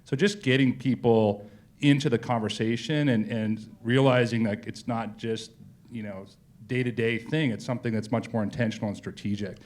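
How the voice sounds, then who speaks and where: A man's voice: mid-range voice, steady tone, normal speed, darkish tone. A man speaking as a company executive in a casual on-stage interview, telling colloquial stories with frequent asides.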